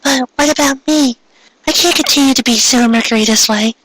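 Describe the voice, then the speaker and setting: A man's voice: very high voice, brisk, a bit bright, no echo, voice pushed up, low-quality recording. A man voicing an anxious, hesitant teenage girl heroine in an anime parody, with a plaintive manner.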